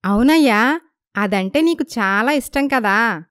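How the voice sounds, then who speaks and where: Lady playing chatty village sister in law, sweet talker w a catty streak, smooth high voice, story style. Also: expressive, slow pace, fairly bright tone, no room sound, studio quality. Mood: coaxing, catty. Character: friendly flattering village sister-in-law, Lakshmi, gossipy.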